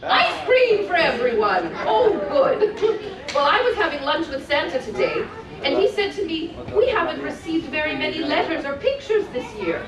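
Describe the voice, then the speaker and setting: Woman acting as Santa's doting wife, live children's storytime, cheerful, encouraging, very lively pitch, distant mic, crowd around. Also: normal speed. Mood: cheerful, chatty. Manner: sing-song, encouraging, addresses children. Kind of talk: live storybook reading to an audience. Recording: echoey room, distant mic, crowd noise.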